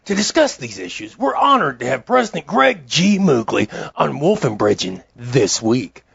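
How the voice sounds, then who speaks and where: A man's voice: on the high side, very animated, moderate pace, gravelly, fairly bright tone, breathy edge, no room sound, lo-fi sound, full character voice. A man playing an earnest, incredulous TV news interviewer in a parody broadcast, with formal delivery.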